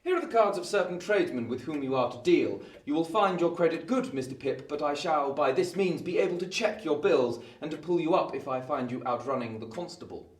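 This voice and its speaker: A man playing a brisk, authoritative Victorian lawyer, clipped and dismissive, in a radio play. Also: on the high side, animated, distant mic, close to an everyday voice. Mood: brisk, stern. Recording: echoey room, off the mic.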